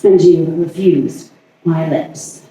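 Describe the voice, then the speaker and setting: A man performing a staged monologue as a lovelorn woman haunted by obsessive passion, halting and deliberate with long pauses. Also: fairly high pitch, warm tone. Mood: haunted, confessional. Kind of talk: staged dramatic monologue reading. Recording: echoey, distant stage recording.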